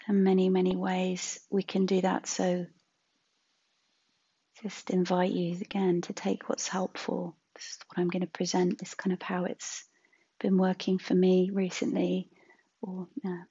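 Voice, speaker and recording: Slow, hushed woman guiding meditation. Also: on the low side, completely flat delivery, breathy edge, lo-fi sound. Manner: gentle, unhurried, with long pauses. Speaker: meditation teacher. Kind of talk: guided meditation talk.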